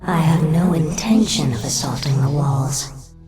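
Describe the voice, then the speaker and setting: An actress playing a vengeful undead elf queen in a game cutscene, imperious and calculating with hushed menace. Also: low-pitched, a little slow, over music. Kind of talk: video game cutscene acting.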